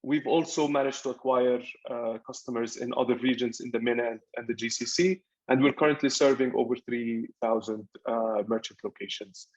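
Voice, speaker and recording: A man gives an orderly, businesslike introduction as an executive on a webinar panel. His pitch is an ordinary middle range. The video-call audio is dull and lo-fi. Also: steady tone, moderate pace, hardly any pauses.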